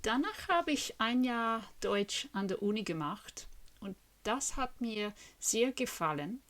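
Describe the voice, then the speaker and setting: A woman's voice: a little high, expressive, slow pace, a bit bright, a little hiss or hum. A woman in her fifties from Western Australia, a native English speaker with an Australian accent, talking to camera in the language she is learning, carefully and earnestly, and asking her viewers questions.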